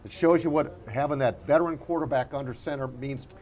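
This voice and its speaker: A man's voice: mid-range voice, brisk, darkish tone. A man talking sports casually as a regular panelist on a show recorded live at a restaurant.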